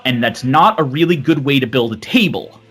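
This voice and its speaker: A man's voice: mid-range voice, expressive, fairly quick, fairly bright tone, breathy edge. A man speaking on a home microphone during a casual gaming podcast panel. He rambles through his points with restarts and sometimes loses his thread. Faint music plays behind him.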